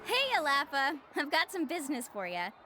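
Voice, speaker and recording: Upbeat young game tour guide girl, high lively voice, friendly n confident, music behind. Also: fairly quick. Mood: breezy. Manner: breezy, assured. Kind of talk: video game voice acting.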